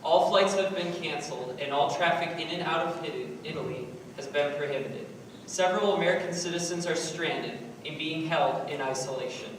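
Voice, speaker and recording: A man's voice: on the high side, moderate pace, fairly bright tone, close to an everyday voice. A man on stage playing a formal, grave evening news anchor. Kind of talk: news bulletin acted on stage. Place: on stage in a large hall. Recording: echoey hall from far off, faint noise.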